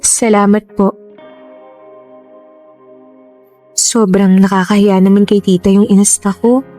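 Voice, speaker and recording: A woman's voice: mid-range voice, level tone, normal speed, warm tone, soft background music, lightly acted. An actress playing a fearful, weary young pregnant woman in a radio drama, with a Filipino accent. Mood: polite, evasive.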